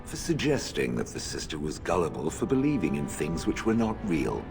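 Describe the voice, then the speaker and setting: Ancient haughty golem, extremely low, gravelly, swooping intonation, stiff formal delivery, over music. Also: male voice, sing-song, moderate pace, exaggerated voice. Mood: grudging, reflective. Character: ancient stone golem, grudging, dry and haughty. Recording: game music behind voice.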